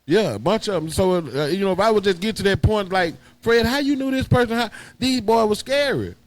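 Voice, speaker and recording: Higher-pitched man telling stories. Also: lively intonation, fairly quick, dry recording. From Houston, Texas. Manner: emphatic, slangy storytelling. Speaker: guest, high school alumnus. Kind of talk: casual reminiscing chat.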